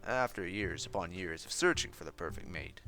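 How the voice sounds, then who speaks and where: A man narrating a fan fiction story in an expressive, scripted reading style, with music behind. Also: deep voice, normal speed.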